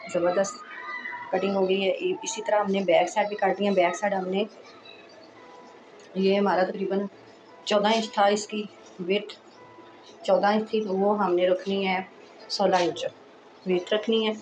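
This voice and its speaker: A woman hosting a sewing tutorial, speaking in a casual, reassuring way while she explains each step, with a hoarse voice from a sore throat. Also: medium pitch, little pitch movement, measured pace, long pauses, over music, poor audio. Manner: chatty and reassuring, frequent "accha ji".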